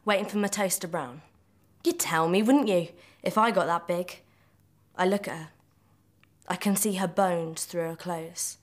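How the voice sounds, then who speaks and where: A woman reading a first-person novel aloud in a storytelling style. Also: lowish voice. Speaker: reader of a novel extract.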